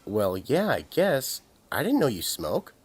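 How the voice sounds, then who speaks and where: A woman playing a worried, hesitant male office colleague with a husky voice in an audio horror drama. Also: very deep voice, huge pitch swings, a little slow, heavily acted. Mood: concerned.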